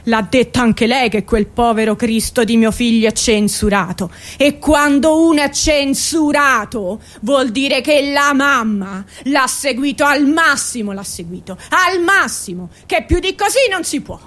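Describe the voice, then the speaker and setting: Woman acting a high-pitched, brash, aggrieved mother. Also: unhurried, few pauses, fairly bright tone. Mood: indignant, defensive. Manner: colloquial, repetitive, insistent pleading and complaining. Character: brash, aggrieved working-class Italian mother defending her arrested son. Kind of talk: theatrical monologue radio drama.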